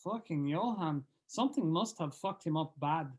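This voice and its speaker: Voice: mid-range voice, expressive, normal speed, a little mellow, natural voice. A performer playing a foul-mouthed, jaded male rock band member at an informal script table read, blunt and sardonic. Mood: dry, sardonic. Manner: blunt, sweary. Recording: clear background noise.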